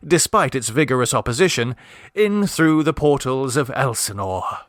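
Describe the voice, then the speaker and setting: A man's voice: mid-range voice, lively intonation, normal speed, no room sound. Man reading an old short story, wry and theatrical, voice swings up and down a lot, dry close mic. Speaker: audiobook narrator.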